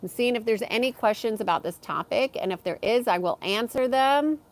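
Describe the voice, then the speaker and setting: A woman hosting a live stream from her backyard, talking slowly and softly, pausing with ums as she reads and answers chat comments. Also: on the high side. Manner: slow, soft-spoken, with frequent ums.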